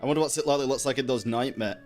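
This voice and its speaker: A man's voice: medium pitch, racing, darkish tone. A young man giving casual live reaction to a video game, blunt, slangy and sweary. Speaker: streamer. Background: game music.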